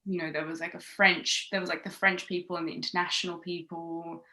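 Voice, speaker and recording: A woman's voice: on the low side, level tone, a little fast, sharp tone, poor audio. A woman in her thirties from Australia, a podcast guest chatting casually with lots of fillers and restarts. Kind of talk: casual chat. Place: home in London.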